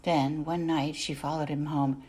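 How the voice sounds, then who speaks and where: A woman reading a literary fairy tale for adults as narrator, with deliberate, dramatic phrasing that trails off softly at phrase ends. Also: deep voice, level tone, slightly rough. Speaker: narrator, artist reading excerpts. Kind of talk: scripted storybook narration for adults.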